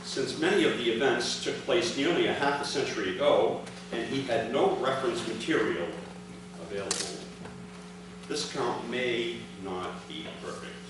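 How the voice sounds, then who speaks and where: A man reads a prepared statement in a formal, deliberate way, stressing the start of his sentences. He is recorded from far off in a very echoey room, with clear background noise. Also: mid-range voice, lively intonation. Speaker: speaker reading his father's prepared account. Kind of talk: formal prepared speech read aloud at a ceremony. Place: large indoor room, addressing a gathering. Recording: echoey, noisy hall recording from afar.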